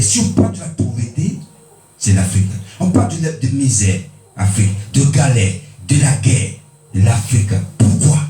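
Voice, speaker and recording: A man from Benin preaching a sermon, emphatic, with pitch swinging high and low for stress. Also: mellow. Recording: echoey room, mic at a distance.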